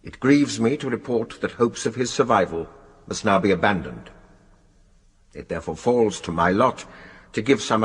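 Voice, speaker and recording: An actor playing a formal, solemn official who delivers a measured obituary in a radio drama. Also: male voice, fairly low pitch, a bit bright, husky, exaggerated voice. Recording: long hall reverberation.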